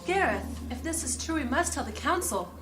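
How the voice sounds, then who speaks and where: A woman's voice: high-pitched, animated, fairly quick. An actress playing a loyal, earnest female mage in a fantasy audio drama, formal and deferential in manner. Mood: alarmed. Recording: music behind the voice.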